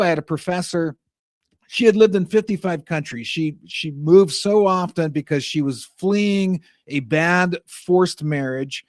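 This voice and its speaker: A man's voice: fairly high pitch, no echo, clean studio sound. A young man hosting a talk show, delivering a rambling, exasperated political commentary monologue. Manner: rambling, exasperated, frequent restarts.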